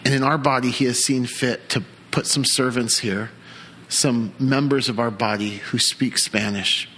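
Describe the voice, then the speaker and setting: Bright-voiced man preaching a sermon. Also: mid-range voice, fairly even intonation, slight background noise, rough recording. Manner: earnest, with frequent restarts and pauses. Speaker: pastor.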